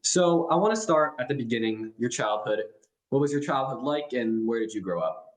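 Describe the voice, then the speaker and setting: Young male podcast host, even intro read, long chained questions. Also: mid-range voice, normal speed, low-quality recording. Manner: reads intro evenly, asks long multi-part questions. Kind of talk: scripted intro, then interview questions.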